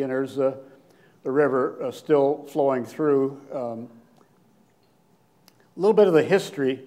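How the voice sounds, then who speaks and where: An older man giving a slide lecture in a hall, with a loud voice and frequent fillers and restarts. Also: mid-range voice. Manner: loud, plain-spoken, halting with many fillers and restarts. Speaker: guest lecturer, archaeologist. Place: lecture hall with seated audience. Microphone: podium microphone, standing at lectern.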